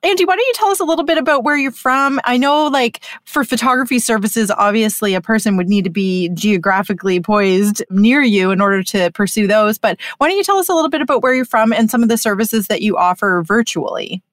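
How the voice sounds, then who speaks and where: A friendly woman from Canada hosts a podcast in a slightly higher voice. Her sound is dry and close, with no room around it. She reflects on her own experience and often agrees in short words while her guest talks. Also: fairly quick, hardly any pauses, no echo. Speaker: podcast host. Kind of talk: casual podcast interview.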